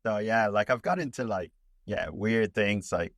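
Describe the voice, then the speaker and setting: Italian-born man, low voice, rambling storyteller. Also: moderate pace, no room sound, studio quality. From Italy. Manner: rambling, many fillers and restarts, animated when excited. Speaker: documentary filmmaker, interview guest. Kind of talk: casual storytelling in an interview.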